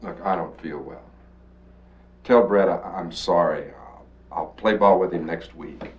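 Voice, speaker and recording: A man's voice: sits low, a little slow. An actor playing a weary, defensive alcoholic father who wheedles, in a 1980s educational film. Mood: sheepish. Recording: dull, noisy old VHS film soundtrack.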